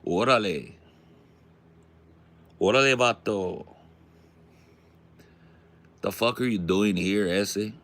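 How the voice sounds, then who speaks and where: A man's voice: fairly low pitch, slow, pauses often, a bit bright. A man voicing a hot-tempered street tough with a Mexican American accent, threatening, swaggering and full of slang. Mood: angry, threatening.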